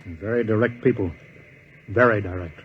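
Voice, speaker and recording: An actor playing a stern, authoritative starship captain in a 1950s radio drama, blunt and deliberate in manner. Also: male voice, fairly low pitch, narrow, tinny audio, slight background noise, lightly acted. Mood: grim, blunt. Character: stern, commanding male starship captain, weighing grim choices.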